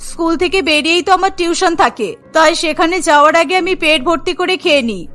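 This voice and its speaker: Woman acting a high-voiced caring, firm schoolteacher. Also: fast, fairly bright tone, soft background music. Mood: kind, protective. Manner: protective, scolding the children like a teacher.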